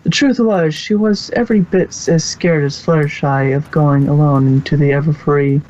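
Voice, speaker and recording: A narrator reading fan fiction aloud in a halting way, stumbling now and then over the text. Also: medium pitch, steady tone, darkish tone. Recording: dull lo-fi recording.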